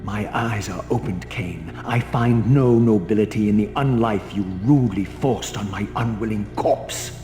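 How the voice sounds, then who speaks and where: An actor playing a bitter, defiant undead vampire wraith in a video game, with formal and disdainful delivery. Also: male voice, on the low side, normal speed, hardly any pauses, dark tone, close to an everyday voice. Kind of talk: dramatic video game acting. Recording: echoey hall reverb over music.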